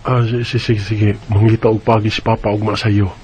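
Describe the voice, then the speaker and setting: A man's voice: on the low side, level tone, fairly quick, darkish tone, slight background noise, lightly acted. An actor playing a worried, resigned husband and father in an earnest radio drama. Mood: troubled. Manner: earnest, hesitant. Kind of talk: radio drama acting with first-person narration.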